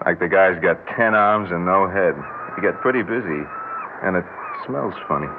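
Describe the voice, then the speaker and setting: An actor playing a modest, uneasy young boxer, speaking haltingly in colloquial 1960s radio drama style. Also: male voice, low voice, expressive, narrow, tinny audio. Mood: reflective. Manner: halting, hesitant, tough-guy colloquial speech. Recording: noisy, thin old radio recording.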